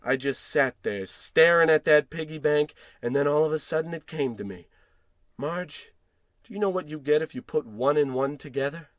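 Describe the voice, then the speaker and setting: Man acting as paranoid husband, high voice, melodramatic ranting, old-time radio drama, dry close sound. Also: normal speed, no echo, lightly acted. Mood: frantic, obsessive. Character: frantic, paranoid husband turning on his wife.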